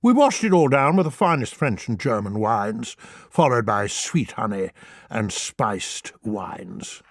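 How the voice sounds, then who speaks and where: A man's voice: fairly low pitch, lively intonation, slow pace, no room sound, clean studio sound, heavily acted. Man playing a self-satisfied gluttonous Tudor king. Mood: relishing, contented. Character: hearty gluttonous Tudor king writing his diary. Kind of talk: dramatised first-person diary reading.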